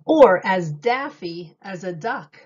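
A woman reading a picture book aloud as a teacher, with simple, deliberate phrasing and questions for young listeners. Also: mid-range voice, lively intonation, husky, muffled recording. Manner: simple, deliberate phrasing addressed to small children.

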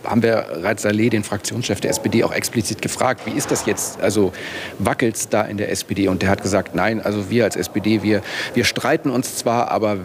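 A middle-aged man, a political reporter, giving a live on-air interview in a halting, reflective way with repeated words. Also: fairly low pitch, fairly even intonation. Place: large indoor parliament foyer. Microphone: handheld broadcast microphone. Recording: clear background noise of busy hall.

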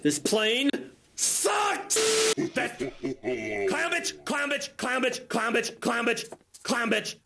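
A young man giving loud, crude comedy commentary over video games, yelling and joking at the screen, recorded on a headset in a bedroom setup with game music behind him. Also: extremely high pitch, sing-song, takes it slowly, gravelly, pauses often, very noisy. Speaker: gaming YouTuber.

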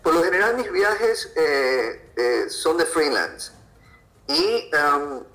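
A man's voice: a little high, animated, slow, fairly bright tone, poor audio. A man with an Ecuadorian accent, answering conversationally as an interview guest. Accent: Ecuadorian Spanish. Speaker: podcast guest, freelance photographer.

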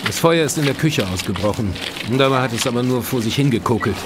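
An actor playing a grudging, matter-of-fact police inspector in a German crime audio drama, dry and businesslike. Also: male voice, medium pitch, animated, quick pace, very noisy, close to an everyday voice. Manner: dry, businesslike, disdainful toward outsiders.

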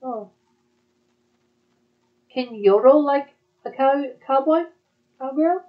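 A woman with a fairly high voice chats in a casual, conversational way. The recording is slightly dull and lo-fi. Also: takes it slowly. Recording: dull, muffled lo-fi audio.

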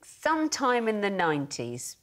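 A middle-aged woman tells a comic story on a panel show. Her pitch is mid-range and moves around in a lively, animated way. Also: unhurried. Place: television studio.

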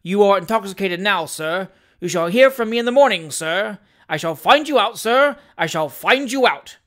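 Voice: medium pitch, animated, moderate pace, fairly bright tone, close to an everyday voice. A narrator voicing a furious, pompous little army doctor in a storybook, with gasping, clipped and indignant outbursts. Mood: indignant threats.

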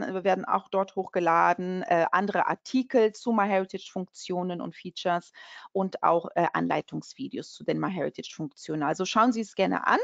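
A woman's voice: medium pitch, gravelly, no echo, muffled recording. A woman from Portugal hosting an online webinar, chatting friendly over slides with many fillers and restarts. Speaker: webinar host, company country manager.

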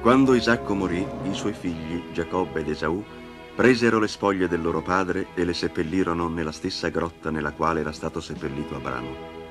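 A man giving solemn, scripted narration of a story for an animated film. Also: sits low, a little fast. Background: music.

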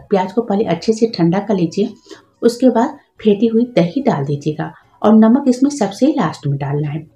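A woman's voice: mid-range voice, warm tone, reverberant. A woman host giving patient, step-by-step instructions in a cooking tutorial voice-over, adding practical tips as she goes.

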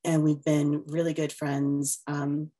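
A woman, a playwright, speaking warmly and with enthusiasm through a headset on a video call. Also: sits low, completely flat delivery, slow. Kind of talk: casual video call conversation.

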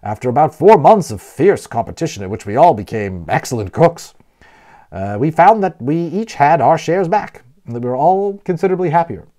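A man reading a children's novel aloud as a storyteller, expressively and with deliberate emphasis on key words. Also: fairly high pitch, lively intonation, warm tone. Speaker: audiobook narrator and storyteller.

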